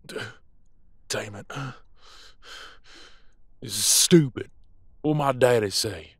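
A man playing a vengeful, stubborn werewolf captor in an audio roleplay, gruff, contemptuous and foul-mouthed. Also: on the low side, slow pace, fairly bright tone, no room sound, clean studio sound, heavily acted. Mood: grudging doubt.

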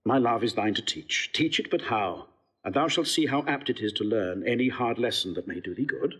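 Noble prince, assured matchmaker, husky, swooping intonation, classical radio play. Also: male voice, mid-range voice, animated, moderate pace, a bit bright. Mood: assured, eager. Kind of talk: classical Shakespearean audio drama acting.

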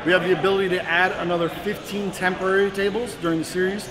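A man's voice: high-pitched, flat delivery, fast talker. A man leading a walking tour of a large indoor poker room, explaining the setup in a matter-of-fact way with some fillers. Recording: crowd noise in a big poker room. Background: crowd chatter.

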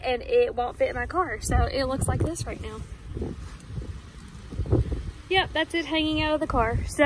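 A woman's voice: high-pitched, background noise. A young woman, a dance teacher, chatting casually on her phone camera and teasing her young students in an upbeat way. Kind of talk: casual vlog chat. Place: car, then busy school gym and auditorium. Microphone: phone held at arm's length.

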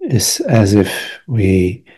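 A man leads a guided meditation in a very husky, breathy voice with a dark, relaxed tone. He speaks very slowly and gently, in short hushed phrases with long pauses left between them. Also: lowish voice. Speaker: meditation teacher.

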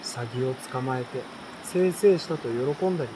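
A young adult man reading a bedtime story aloud in a relaxed, unhurried way, with pauses between short phrases. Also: mid-range voice, background noise. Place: outdoors at night beside a campfire. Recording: campfire, insects and stream behind voice.

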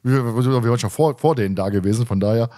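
A man's voice: mid-range voice, racing, warm tone. Chatty male podcast host. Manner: chatty, often chuckles while telling anecdotes. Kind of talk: casual podcast chat.

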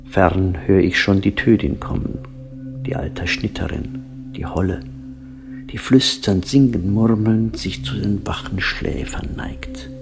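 A man narrates a solemn, poetic story in a fairly low voice. He takes his time, letting phrases stretch out and soften into a breathy hush. New-age instrumental music plays clearly behind him. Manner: hushed, breathy, drawn-out phrasing, trailing into whispers.

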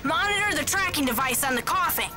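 A woman plays a determined boy agent with a very high voice. He barks terse, urgent commands in a thriller radio drama. Music plays behind him. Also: animated, fairly quick. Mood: tense, resolute. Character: determined young boy trainer turned counter-terrorist field agent.

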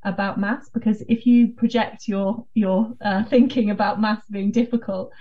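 A woman's voice: mid-range voice, fairly even intonation, moderate pace, warm tone. A woman with a British accent explaining things as a guest in a radio interview. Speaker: interview guest, dyscalculia network co-founder. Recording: dull, slightly distant remote link.

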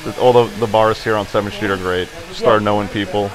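Chatty man, fairly low voice. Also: a little fast, crowd noise, rough recording. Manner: relaxed, chatty, with many fillers. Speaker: barbershop owner. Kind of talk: casual interview answers. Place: barbershop interior.

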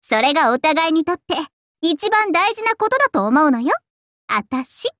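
Self-admiring young idol princess in an anime game: extremely high, lively, theatrical, playfully haughty. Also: female voice, normal speed. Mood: musing, affectionate. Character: vain, bubbly young princess idol singer, self-styled Cinderella. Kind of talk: Japanese anime mobile game voice acting.

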